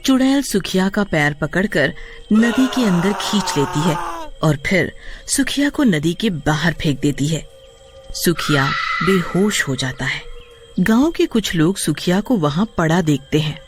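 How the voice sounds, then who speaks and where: A man narrates a scripted horror tale for children with lively, swinging pitch. His voice sits at a middle height, with music playing underneath. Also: a little slow.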